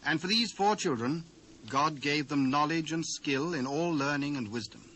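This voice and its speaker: A British man giving a formal, measured scripted narration of scripture. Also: a little high, sharp tone. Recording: noisy old cassette transfer.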